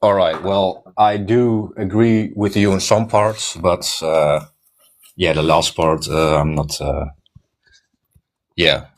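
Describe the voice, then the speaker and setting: A man's voice: deep voice, steady tone, slow pace. A man who is a native Dutch speaker, talking in English with a Dutch accent as a guest, hesitant and full of fillers.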